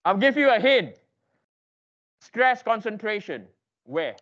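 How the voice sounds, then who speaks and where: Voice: medium pitch, sing-song, slow pace, a bit bright, highs cut off. An engineering lecturer teaching interactively in a classroom, quizzing students with emphatic, repeated questions and prodding them for answers.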